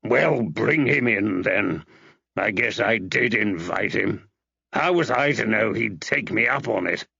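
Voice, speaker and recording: An audiobook reader plays a surly man, an artist with no patience. He is gruff and blunt, with a changeable, animated pitch. The recording is dry and a little dull. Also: measured pace, no room sound, exaggerated voice. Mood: grudging. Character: surly, rude male artist.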